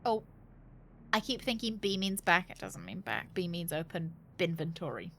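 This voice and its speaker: A woman's voice: lowish voice, sing-song, moderate pace, fairly bright tone, a little hiss or hum. A woman doing casual live game commentary, thinking aloud in a playful, cheeky, rambling way and swearing freely. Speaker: game streamer.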